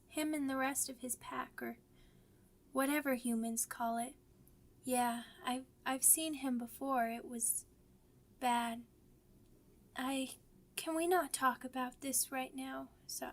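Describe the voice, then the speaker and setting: Timid little werewolf child, played by a woman, very high voice, slow and stammering, for ASMR roleplay. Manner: hesitant, stammering. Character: timid, orphaned young werewolf pup, child, eager to trust. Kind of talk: ASMR audio roleplay acting.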